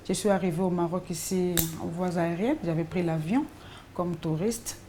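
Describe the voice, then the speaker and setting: A woman's voice: fairly low pitch, normal speed, noisy background. A woman from Congo-Kinshasa telling her story plainly, with small hesitations, for a documentary. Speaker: interviewee.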